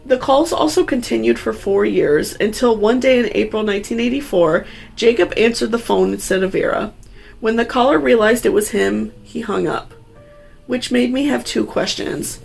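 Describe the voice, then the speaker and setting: A woman's voice: fairly high pitch, normal speed, vocal fry, a little mellow, slightly rough, faint music behind. A woman hosting a true crime story, reading scripted narration with personal asides in an earnest, sympathetic, cautionary way.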